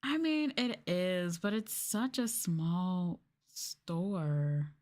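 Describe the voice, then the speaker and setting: A woman streamer chatting casually in a soft-spoken ASMR style, rambling and swearing as she goes. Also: on the low side, drawn-out words. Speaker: VTuber streamer.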